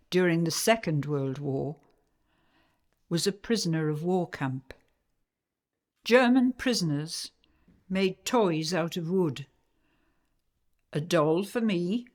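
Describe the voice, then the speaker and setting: An actress playing a woman who calmly recalls her family's forestry childhood in a community radio play. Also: on the low side. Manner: calm measured recollection. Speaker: narrator of memories.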